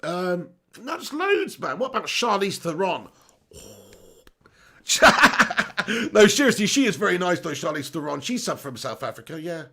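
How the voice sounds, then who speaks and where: Excitable high-voiced stream host. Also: male voice, unhurried. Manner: excitable, laughs often, casual swearing. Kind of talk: live stream chat reading viewer comments.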